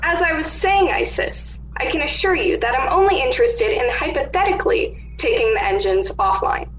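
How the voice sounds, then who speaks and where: A high-pitched young female engineer acted by a woman in a roomy, slightly dull recording. She is feisty and sarcastic, with wry emphasis, in a sci-fi radio drama. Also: animated, brisk, soft background music. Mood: wry, determined. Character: feisty, sarcastic girl engineer on a colony ship. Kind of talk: sci-fi radio play acting. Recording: dull, echoey room recording.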